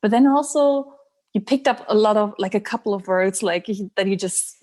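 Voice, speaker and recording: A woman from Germany with a German accent touched by American and Australian sounds, speaking as a podcast guest, halting with fillers and laughs. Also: medium pitch, a little mellow. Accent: German with American and Australian traces. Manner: hesitant, many fillers and restarts, laughs. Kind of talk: casual conversational interview.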